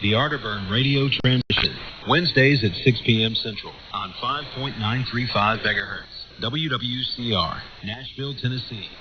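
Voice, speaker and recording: A man's voice: mid-range voice, normal speed, hardly any pauses. A man making scripted schedule announcements as a radio station announcer. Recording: dull, noisy shortwave radio broadcast.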